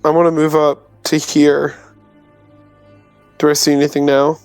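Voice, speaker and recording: A man's voice: on the high side, monotone, a little slow, smooth, no room sound. A brash, sweary man playing a tabletop roleplaying game, casually saying what his character does. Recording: music behind the voice.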